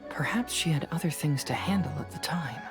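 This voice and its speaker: A man voicing a young male detective in a video game, analytical, composed and confident, explaining things deliberately. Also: fairly high pitch, animated, music in the background, natural voice. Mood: thoughtful. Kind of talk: scripted video game dialogue.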